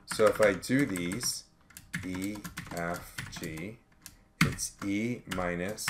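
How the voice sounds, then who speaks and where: A man working step by step through an accounting tutorial as an instructor, in a measured way, reasoning aloud as he calculates. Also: lowish voice. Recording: background noise with keyboard typing clicks.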